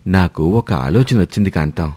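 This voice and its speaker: A man voicing an easygoing, food-loving old father-in-law with a relaxed, hearty manner. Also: low voice, fairly quick, breathy edge, voice pushed down.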